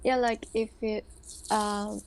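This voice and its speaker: A woman playing a tabletop roleplaying game, chatting casually and haltingly. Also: medium pitch, flat delivery, a crowd in the background.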